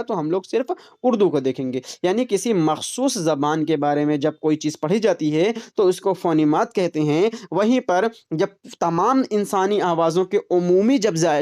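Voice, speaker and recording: A man's voice: fairly high pitch, darkish tone. Man teaching, repetitive question-and-answer style. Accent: Urdu-speaking Indian. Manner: explains by repeating terms and asking the class questions; checks in often with "is it not?" and "all right". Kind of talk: online exam-prep lecture.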